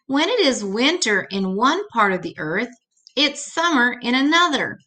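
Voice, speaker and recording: A woman's voice: fairly high pitch, sing-song, unhurried, sharp tone. An older woman reading an educational children's book aloud, adding simple, patient explanations as she goes.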